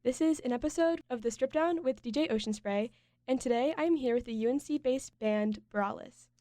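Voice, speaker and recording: A woman's voice: on the high side, moderate pace, mellow, dry recording. A young woman hosting a college radio interview, asking friendly, plain questions.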